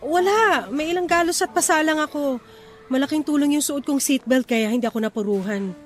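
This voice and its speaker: A woman's voice: high voice, fairly quick, lightly acted. A woman playing an earnest, steadfast wife and mother who soothes and reassures, in a radio drama. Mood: worried, relieved.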